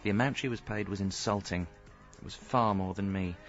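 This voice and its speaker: A man's voice: fairly low pitch, fairly quick, a bit bright, dull audio, faint music behind. An actor playing a hapless, earnest young father and husband in a radio comedy, by turns sheepish and drily wry. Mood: wry narrating. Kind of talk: radio comedy acting with wry first-person narration.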